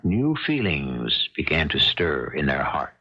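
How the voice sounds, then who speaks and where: An actor playing a solemn storyteller, narrating a 1970s radio mystery drama. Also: male voice, very deep voice, very animated, measured pace, pushed and bright, husky, full character voice. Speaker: narrator, host. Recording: dull 1970s radio recording with music.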